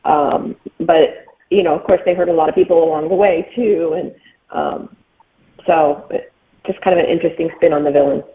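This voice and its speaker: A woman's voice: low voice, animated, moderate pace, phone-quality sound. A woman speaking as an author guest in a casual interview, explaining things informally with frequent filler phrases. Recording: thin band-limited call sound.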